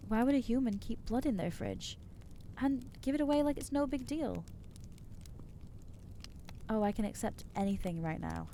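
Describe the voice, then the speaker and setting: A woman voicing an anxious, guilt-ridden new vampire in an ASMR roleplay, halting and self-doubting, with wry exasperation. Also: fairly high pitch, lively intonation, dark tone, a little hiss or hum. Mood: bewildered, overwhelmed. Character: anxious newly turned female vampire, guilty and overwhelmed. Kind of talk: ASMR roleplay acting to the listener.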